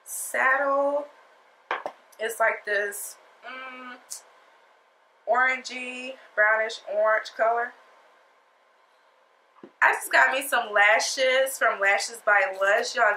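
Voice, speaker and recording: A woman's voice: a little high, big pitch swings, slow, pauses often, sharp tone, echoey room, a little hiss or hum, low-quality recording. A woman talking through a makeup tutorial in a casual, sassy, chatty way, her nose stuffed up from a cold. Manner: chatty, sassy, talks straight to viewers. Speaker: beauty vlogger.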